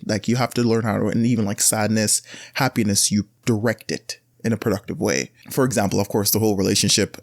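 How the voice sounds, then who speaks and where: A man holds forth on his solo podcast, his fairly low voice rambling through blunt advice. When he wants to stress a point, he jumps higher and louder. The sound is dry, with no room around it. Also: no echo. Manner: rambling, with frequent restarts and filler words. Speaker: podcast host. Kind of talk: casual solo podcast monologue.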